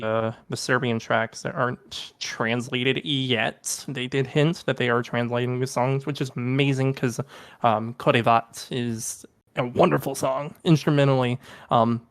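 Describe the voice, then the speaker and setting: A man's voice: mid-range voice, steady tone, a little slow, no room sound. A young man co-hosting a podcast, chatting casually and enthusiastically about music, with lots of ums and restarts. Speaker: podcast co-host. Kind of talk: casual music review chat.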